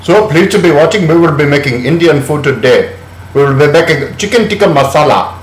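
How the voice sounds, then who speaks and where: A man's voice: on the high side, animated, fairly quick, pitched above the performer's own voice, natural voice. A man voicing an over-polite Indian cooking-show host with a mock-formal, singsong delivery, in a very echoey room. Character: man putting on an exaggerated Indian TV host accent.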